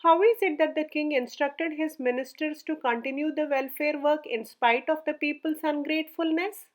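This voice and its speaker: A woman's voice: high-pitched, fairly even intonation, normal speed, lightly acted. A woman voicing a menacing, riddling vampire spirit in a folk tale. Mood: challenging.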